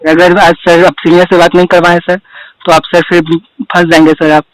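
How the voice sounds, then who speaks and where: High-voiced young man on the phone. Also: little pitch movement, moderate pace, no echo. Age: young adult. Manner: polite but insistent, says sir constantly. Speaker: student answering a phone call. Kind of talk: phone conversation. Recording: recorded phone call.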